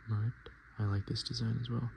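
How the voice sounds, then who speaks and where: A man speaking softly and often whispering in a casual ASMR style, with hesitant, halting, murmured delivery. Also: medium pitch, flat delivery, gravelly. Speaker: ASMR video host. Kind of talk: soft-spoken and whispered ASMR, casual commentary. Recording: noticeable background noise.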